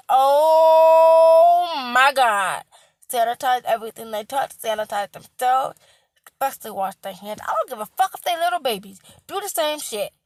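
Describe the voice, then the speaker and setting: A young woman who works in healthcare, hoarse with a cracking voice, lecturing bluntly, repeating herself and swearing for emphasis. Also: high voice, no echo. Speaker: healthcare worker. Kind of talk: casual vlog rant.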